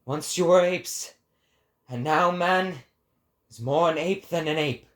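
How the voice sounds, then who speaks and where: A man's voice: fairly high pitch, takes it slowly, a bit bright. A man voicing an impassioned male prophet who declaims like an orator in a dramatic reading. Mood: grave, scornful.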